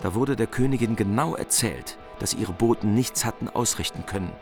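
Calm male narrator of a medieval epic. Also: on the low side. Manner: calm, measured. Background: music.

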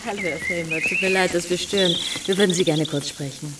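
An actress playing a composed, probing police detective in a TV crime drama, with measured, insistent questions. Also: female voice, on the low side, heavy background noise, close to an everyday voice. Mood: polite. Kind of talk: naturalistic German TV drama dialogue.

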